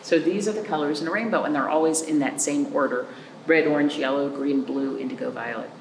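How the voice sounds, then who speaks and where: A woman hosting a children's storytime as a librarian, reading aloud with chatty asides and simple, animated explanations with little check-in questions. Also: sits low, a little fast, gravelly, echoey room, a little hiss or hum, poor audio. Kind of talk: picture-book read-aloud with chatty asides for young children.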